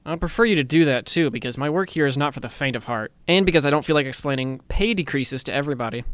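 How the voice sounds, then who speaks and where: A man's voice: mid-range voice, animated, fast talker, no echo, lightly acted. Guy acting as smug chatty boss, teasing and a bit intimidating, asmr roleplay, super clean dry sound. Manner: teasing, self-satisfied, chatty.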